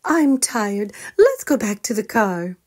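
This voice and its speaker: A woman voicing a flustered, excitable mother bear who exclaims emphatically in a storybook. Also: medium pitch, slow, pitched above the performer's own voice. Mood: weary.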